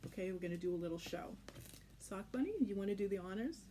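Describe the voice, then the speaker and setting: A woman's voice: medium pitch, fast talker, mellow. A woman instructor casually explaining a children's craft step by step in an informal, reassuring way. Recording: clearly noisy home recording.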